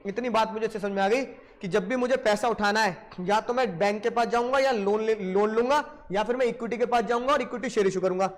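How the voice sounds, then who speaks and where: Guy teaching commerce class, really high voice, repeats stuff for emphasis, throat a bit rough. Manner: repeats phrases for emphasis, addresses students directly. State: sore throat, coughing. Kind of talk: animated classroom lecture in Hindi-English mix.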